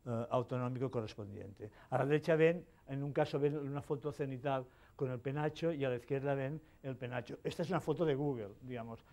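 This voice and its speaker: Hesitant male conference speaker, mellow voice. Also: mid-range voice. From Spain. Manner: hesitant, many fillers and restarts. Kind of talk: technical conference talk. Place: conference hall.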